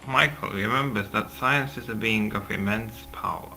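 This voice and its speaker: A man's voice: fairly low pitch, moderate pace, creaky, sharp tone. A man reading scripted stage directions as the narrator.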